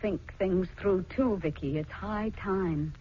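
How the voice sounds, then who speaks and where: Resolute, husky young wife, vintage radio. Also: female voice, mid-range voice, slow. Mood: sad, resolute. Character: resolute, wounded young wife, cool and measured. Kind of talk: 1940s radio melodrama acting. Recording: muffled old 1940s radio recording.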